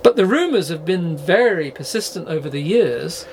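Man as a high, creaky podcast reporter. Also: animated, normal speed, a little hiss or hum. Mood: probing. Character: earnest, polite male university podcast reporter. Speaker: podcast host. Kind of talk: scripted narration and audio drama.

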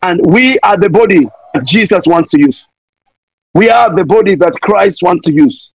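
High-voiced, fervent preacher man. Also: animated, normal speed, no room sound. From Kenya. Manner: impassioned, repeats pleas, wordplay. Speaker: missionary preacher guest. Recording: dull lo-fi dry sound.

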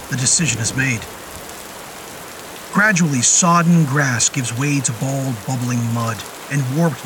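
A man narrating a scripted horror story in a deliberate, literary and descriptive manner. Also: mid-range voice, noisy background. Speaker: narrator of a horror fiction podcast. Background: music and rain sound effects.

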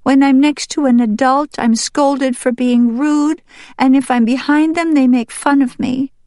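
A woman's voice: high voice, steady tone, a little slow, mellow, no room sound, clean studio sound, voice pushed up. A woman voicing a plaintive young male wild duck who pleads earnestly like a child in an audiobook. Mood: lonely, upset. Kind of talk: audiobook character voice.

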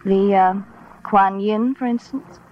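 An actress playing a sultry, teasing femme fatale professor in 1940s radio noir, languid and insinuating. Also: female voice, medium pitch, fairly even intonation, slow pace, slight background noise, lightly acted. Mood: sly, languid. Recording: muffled old radio recording with music.

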